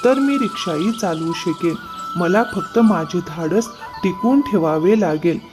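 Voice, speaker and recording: A man playing an earnest, determined poor carriage driver and loving father in a cartoon moral story, speaking in a measured way. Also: high voice, lively intonation, music in the background. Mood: reassuring.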